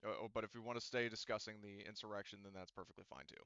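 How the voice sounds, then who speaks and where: A man with a fairly low, clear and smooth voice narrates a tabletop roleplaying game as game master. His delivery is casual and halting as he improvises. The sound is dry and slightly dull. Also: steady tone, fairly bright tone, dry recording. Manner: hesitant, casual, relaying character speech indirectly.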